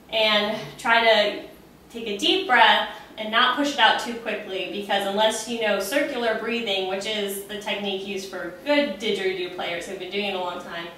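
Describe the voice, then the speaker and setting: A bright-voiced woman instructor talks through a demonstration in an informal, explanatory way. Her voice rings in a very reverberant room, with faint noise behind it. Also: mid-range voice.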